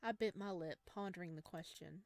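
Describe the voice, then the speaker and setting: A woman's voice: on the low side, fairly quick, darkish tone, dry recording. A woman doing a visual novel let's play, reading aloud and reacting in a chatty, playful, giggly way. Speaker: game streamer.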